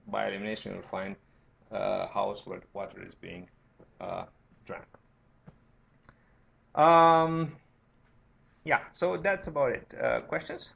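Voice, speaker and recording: A man's voice: on the low side, takes it slowly, vocal fry, pauses often, a bit bright. A man from Romania with a Romanian accent, lecturing informally as a university teacher and often checking in with "right?" and "okay?". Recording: dull, lo-fi lecture recording.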